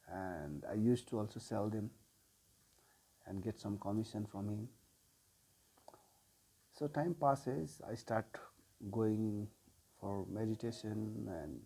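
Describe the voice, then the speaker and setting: A man in his forties with a Nepali accent tells his own story as a sound healer. His voice is mellow and rather low, and he speaks very slowly with many pauses and filler sounds. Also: breathy edge. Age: 40s. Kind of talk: personal life story told to camera.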